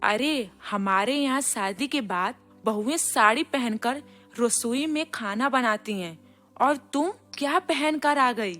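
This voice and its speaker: A woman's voice: on the high side, slow, a bit bright, faint music behind. A woman voicing a stern, proud village mother-in-law in a storybook cartoon, with an emphatic manner. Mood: scolding. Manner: scolding, emphatic.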